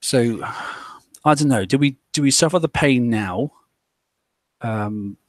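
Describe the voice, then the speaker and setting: A man's voice: medium pitch, slow pace, dry recording. Rambling man, dry close mic. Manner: rambling, many fillers and restarts. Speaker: podcast host. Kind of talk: casual group discussion.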